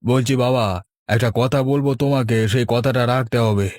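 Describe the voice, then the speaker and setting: A man's voice: medium pitch, steady tone, normal speed, a little mellow, dry recording, lightly acted. Earnest village uncle, dry close sound male actor. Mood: persuasive. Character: earnest village uncles, middle-aged, advising and fretting. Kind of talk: Bengali cartoon dubbing.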